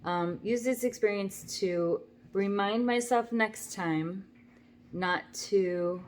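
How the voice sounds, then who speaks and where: A woman's voice: medium pitch, slow, a little hiss or hum. An older woman talks straight to her camera from her kitchen at home. She tells a long story in a chatty, wry, rambling way, with plenty of asides. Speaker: vlogger. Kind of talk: casual talking-to-camera story.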